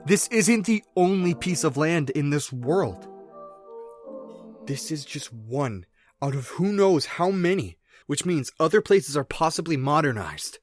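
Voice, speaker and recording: A man's voice: on the high side, sing-song, unhurried, dry recording. A man voicing a defiant, hopeful tinkerer in a comic dub, speaking emphatically and insistently. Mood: defiant, eager. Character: defiant, hopeful male tinkerer dreaming of faraway lands. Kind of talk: dramatic comic dub acting. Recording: voice over loud background music.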